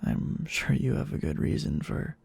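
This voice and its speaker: A man playing a clingy, obsessively devoted boyfriend in an intimate ASMR roleplay, speaking haltingly in a breathy half-whisper. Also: a little mellow, lightly acted. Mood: hurt, anxious. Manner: halting, slow, hesitant.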